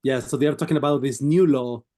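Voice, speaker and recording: A man's voice: medium pitch, steady tone, quick pace, mellow. A man teaching an online class over a video call, patiently prompting students with questions. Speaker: language teacher.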